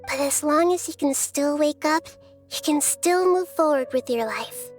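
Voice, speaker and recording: A woman playing a gentle, wise, childlike young goddess in a video game, speaking in a measured, earnest way. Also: high voice, faint music behind. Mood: reflective.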